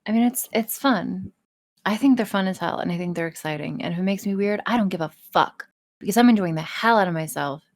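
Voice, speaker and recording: A woman's voice: mid-range voice, brisk. A woman chatting casually as a podcast guest, blunt and emphatic, swearing freely. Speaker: podcast guest, audio roleplay artist. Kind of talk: casual, profane interview chat.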